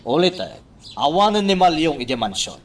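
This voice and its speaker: A man's voice: on the high side, sing-song, normal speed. A man playing an earnest, deferential plantation worker in an Ilocano radio drama. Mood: earnest, coaxing.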